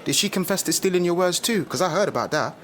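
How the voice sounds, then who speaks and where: A man's voice: high-pitched, expressive, quick pace, a bit bright, a little hiss or hum. An actor playing a confident, street-smart young man with a London accent in a radio drama, slangy and opinionated. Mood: earnest. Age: young adult. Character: confident young British man, outspoken musician-turned-speaker, street-smart. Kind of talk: naturalistic radio drama dialogue.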